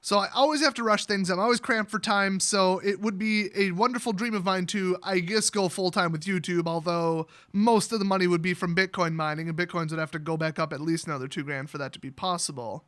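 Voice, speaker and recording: American dude, pretty high bright voice, casual storytime rambling, sarcastic and exaggerating. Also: male voice, fairly quick, few pauses. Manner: sarcastic, animated, jokey exaggeration. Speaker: YouTuber telling a personal story.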